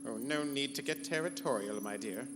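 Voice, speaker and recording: A man's voice: medium pitch, moderate pace, noisy background, close to an everyday voice. A man playing a haughty, theatrical and petulant rogue ship computer in a pulp sci-fi comedy radio play. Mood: gleeful menace. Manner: grandiose, pompous. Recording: live convention hall stage, reverberant, music behind.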